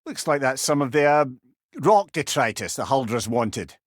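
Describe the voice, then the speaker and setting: Game role, male actor: witty old Scottish advisor, companionable and eloquent with a rueful edge, animated medium-pitched delivery. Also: normal speed, no echo, clean studio sound, lightly acted. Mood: reflective. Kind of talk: video game character dialogue.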